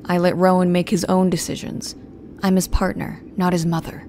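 A woman's voice: on the low side, completely flat delivery, moderate pace, warm tone, a little hiss or hum, close to an everyday voice. A woman playing a guarded, protective young woman, narrating an audio drama in the first person in a restrained, brooding, stern manner. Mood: irked, wary.

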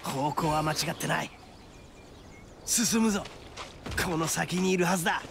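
A man voicing a brash, cocky young oni gang boss with rough, boastful delivery in a Japanese anime game. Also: fairly high pitch, normal speed, noisy background. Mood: alert, determined. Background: game music.

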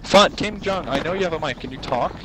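A man chatting and joking with his squad during an online shooter match, getting excited when fighting starts. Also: fairly high pitch, fast, heavy background noise. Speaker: gamer on squad voice chat. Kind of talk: casual game chat. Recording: loud gunfire and explosions behind. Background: game battle sounds.

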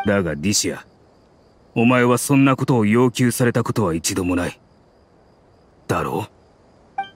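An actor voicing a grim, loyal male mercenary in a game dub, telling a grave story in a measured way. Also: lowish voice, expressive, a little hiss or hum, close to an everyday voice.

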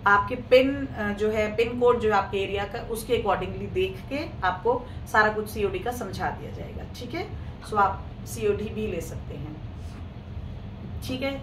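A woman with a hoarse throat hosting a live clothing sale, chatting casually with viewers in Hindi mixed with English. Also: mid-range voice. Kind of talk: casual live-stream sales talk. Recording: echoey room, mic at a distance, faint noise.

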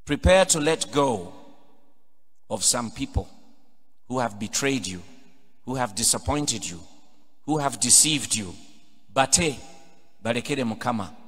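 A middle-aged Ugandan-accented priest preaches into a pulpit microphone, his voice sitting at an ordinary male pitch. He drives his points home with emphatic, rising calls to the congregation. Long cathedral reverb trails every phrase. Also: animated, a little slow, pauses often, slightly rough. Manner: emphatic preaching, building to loud exhortations. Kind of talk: homily mixing English and Luganda. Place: large cathedral, indoors at a pulpit. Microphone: pulpit microphone on a stand.

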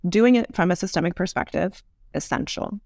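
A woman's voice: on the low side, normal speed, vocal fry, darkish tone, no echo, studio quality. A woman speaking as an interview guest, explaining ideas thoughtfully and repeating for emphasis. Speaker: podcast guest, futurist author.

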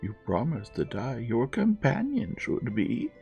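A man voicing a persistent, pleading male frog in a fairy tale, speaking in a slow, imploring way. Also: mid-range voice, huge pitch swings, gravelly, warm tone, dull audio, soft background music, pitched above the performer's own voice, heavily acted. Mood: insistent.

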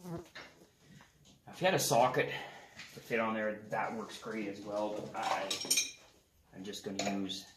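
A middle-aged man with a lowish voice talks plainly through a repair as he works, his words loose and unhurried. The sound is roomy and echoing, with loud clanks of tools and metal close by. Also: background noise. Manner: relaxed, talks while working on an engine. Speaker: garage mechanic host. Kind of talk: casual how-to repair demonstration. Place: open wood shed workshop. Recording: echoey with loud tool clatter.

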